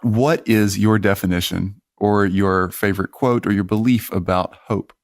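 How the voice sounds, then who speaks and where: A man's voice: lowish voice, moderate pace, no echo, clean studio sound. A man hosting a podcast, chatting informally as he puts interview questions to a guest. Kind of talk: casual conversational interview.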